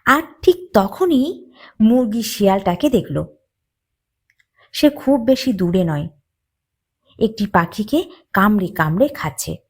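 Slow, very expressive woman storyteller. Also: medium pitch, breathy edge. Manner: deliberate, dramatic phrasing. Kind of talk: expressive narration of a children's moral story.

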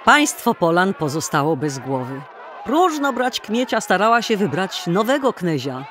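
A man narrating an old folk tale as a dramatic storyteller in a theatrical, deliberate manner. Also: high-pitched, big pitch swings, fairly bright tone, some chatter behind, a little hiss or hum.

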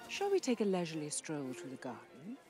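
A woman's voice: low-pitched, wildly swooping pitch, fairly quick, over music. A woman with an English accent playing a snobbish, imperious older aristocrat in a period film. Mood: haughty.

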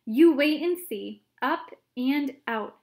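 A woman voicing an eccentric, excitable male chocolate maker who gushes with theatrical exclamations. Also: high-pitched, voice pushed up, exaggerated voice. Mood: exuberant. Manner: gushing, theatrical, rapid exclamations.

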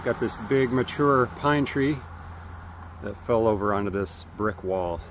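A man in his fifties with an American accent gives casual vlog commentary in a halting, matter-of-fact way, recorded outdoors on a phone. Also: lowish voice. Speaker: cycling vlogger. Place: outdoors by bike paths and roads. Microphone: phone at arm's length. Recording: noisy outdoor audio with traffic ambience.

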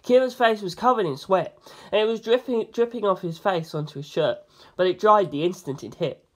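Amateur audiobook narrator, medium pitch, informal and expressive first-person storytelling. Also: brisk. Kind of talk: reading a novel aloud as first-person narration.

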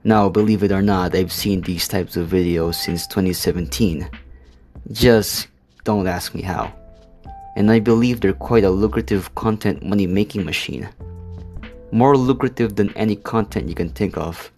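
A man's voice: low-pitched, moderate pace, a little mellow. A man giving scripted, comedic commentary as the narrator of a video essay. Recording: voice-over with music behind.

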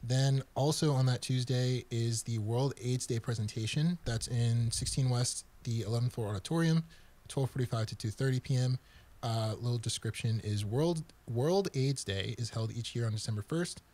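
A young man hosting a college podcast, reading the week's events in a relaxed, dry way in a small studio. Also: mid-range voice, normal speed. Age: young adult. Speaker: host. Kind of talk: casual chat and reading event listings. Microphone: studio mic on a stand, headphones.

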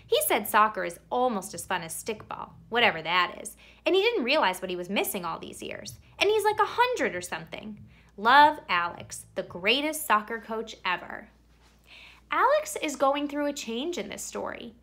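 A woman reading a children's picture book aloud expressively, with theatrical emphasis and drawn-out words. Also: fairly high pitch, big pitch swings, bright, forceful tone. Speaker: read-aloud storyteller.

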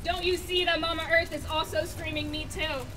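Very high-voiced young woman reciting, from afar. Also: flat delivery, a little fast, bright, forceful tone. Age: young adult. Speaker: youth climate activist and spoken word poet. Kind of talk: spoken word poetry at a rally. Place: outdoor rally stage under a canopy. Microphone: stage microphone at a podium. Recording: distant outdoor PA, music behind.

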